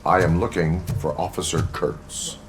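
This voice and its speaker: An actor playing a stoic cyborg police officer in a video game, speaking formally and deliberately like a machine. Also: male voice, deep voice, background noise. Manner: formal, deliberate, flat robotic delivery.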